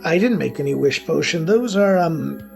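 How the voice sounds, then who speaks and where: A man's voice: on the high side, lively intonation, normal speed, vocal fry, darkish tone. A man playing a flustered, bookish young wizard with an awkward, stammering manner in an audio romance story. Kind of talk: scripted audio fiction, narration and character dialogue. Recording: music behind the voice.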